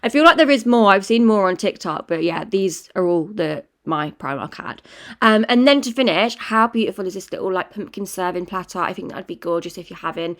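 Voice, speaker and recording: Young girl rambling to camera at home, bit wheezy, mid pitch. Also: lively intonation, fairly quick, fairly bright tone. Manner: chatty, rambling, self-correcting. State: wheezy from a chest infection. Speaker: vlogger. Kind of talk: casual chatty vlog. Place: home, indoors.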